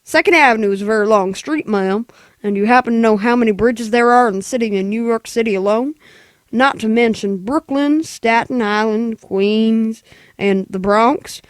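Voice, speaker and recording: A woman voicing a gruff, dismissive, weary city police sergeant in a 1940s radio play, with brusque, patronizing delivery. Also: on the high side, moderate pace, no echo, close to an everyday voice.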